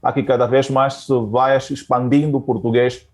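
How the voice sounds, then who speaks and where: A man's voice: mid-range voice, flat delivery, normal speed, darkish tone, faint music behind. A man from Angola, a Portuguese teacher, answering interview questions hesitantly with many fillers and restarts.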